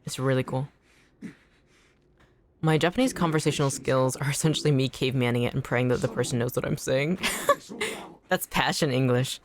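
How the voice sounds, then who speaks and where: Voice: mid-range voice, normal speed, long pauses, slight background noise. A streamer whose first language is Anishinaabemowin, chatting casually with viewers while playing, swearing and yelping at enemies mid-sentence. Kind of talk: casual gaming stream chat.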